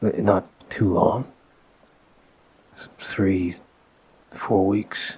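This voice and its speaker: A low-pitched, heavily husky mafia boss played by a man speaks as if on a private call to a partner. He is gentle and protective, choosing his words with hesitant pauses. The delivery is an intimate, apologetic ASMR audio roleplay. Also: fairly even intonation, exaggerated voice, poor audio.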